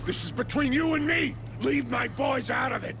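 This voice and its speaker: A man's voice: extremely high pitch, moderate pace, music in the background. An actor playing a defiant captive military father, strained and desperate, in a video game cutscene. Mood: defiant pleading. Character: defiant captive father, tough middle-aged military officer.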